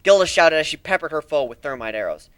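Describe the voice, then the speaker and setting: A man's voice: fairly high pitch, lively intonation, fast talker, fairly bright tone, clean tone, no echo. A man giving a dramatic first-person narration of a fantasy action story.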